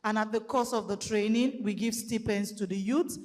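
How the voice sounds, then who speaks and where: A Nigerian woman speaking at a conference, loud-voiced and methodical as she explains programs with light fillers. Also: medium pitch, steady tone, normal speed. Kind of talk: public presentation. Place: conference hall. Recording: long hall reverb.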